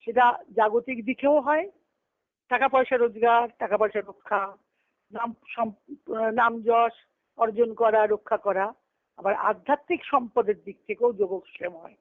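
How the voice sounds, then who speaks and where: A woman's voice: fairly high pitch, no room sound. A woman giving a devotional scripture discourse as a spiritual teacher over a conference call, explaining phrase by phrase with repetition. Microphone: conference call line. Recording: muffled lo-fi conference-call phone audio.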